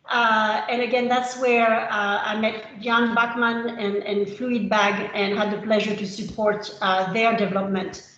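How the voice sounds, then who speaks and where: A French-born woman in her fifties giving a measured webinar presentation as a consultant, with the odd "uh" between phrases. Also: a little high, a bit bright, dull audio. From France. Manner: measured, with filler uhs. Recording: roomy video-call audio.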